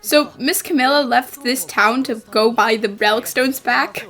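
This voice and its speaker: A woman voicing an eager, plucky young detective with an earnest, expressive manner in an animated fan dub. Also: a little high, a little slow, faint music behind. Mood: puzzled, curious.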